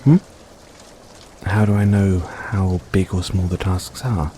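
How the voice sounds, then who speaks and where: Deep slow-talking guy acting as a sweet teasing boyfriend, soft comfort roleplay, faint rainy noise behind him. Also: steady tone. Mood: reassuring. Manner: soft-spoken, playful, coaxing, chuckles often. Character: caring, gently teasing boyfriend soothing an overworked partner.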